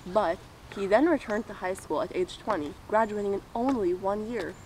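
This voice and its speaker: Young female co-presenter, mid-pitched, scripted biography read on a slightly noisy amateur recording. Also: moderate pace, a little mellow.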